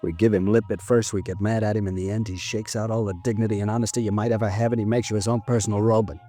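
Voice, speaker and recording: A man acting a bitter, worn-down underling in an old radio play. His voice is low and rough, resigned and cynical, with faint music in the restored broadcast. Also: little pitch movement, quick pace, warm tone, natural voice. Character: weary, bitter, beaten-down henchman of a tycoon. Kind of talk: 1950s radio drama acting.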